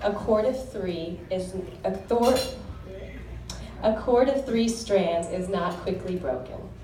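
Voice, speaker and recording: A woman's voice: mid-range voice, takes it slowly, mellow, slight background noise. Earnest young woman toasting in an echoey hall. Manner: earnest, heartfelt, devout. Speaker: groom's sister giving a wedding toast. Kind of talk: formal wedding toast with scripture readings. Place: wedding reception hall. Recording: echoey hall recorded from far off.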